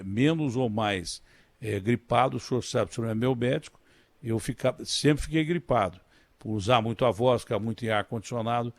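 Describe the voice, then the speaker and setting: A man's voice: mid-range voice, darkish tone, no echo. A man with a Brazilian Portuguese accent hosting a radio interview, speaking in a conversational, emphatic way. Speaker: radio host.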